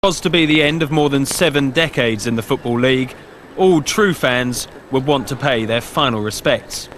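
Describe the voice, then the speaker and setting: Male narrator, scripted read, bright forceful tone, mid pitch, faint background noise. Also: normal speed.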